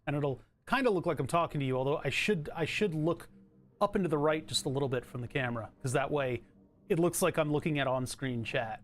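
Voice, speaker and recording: A man streaming from his home setup, chatting casually in a rambling, self-interrupting way with a bright, trebly voice. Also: fairly high pitch, brisk.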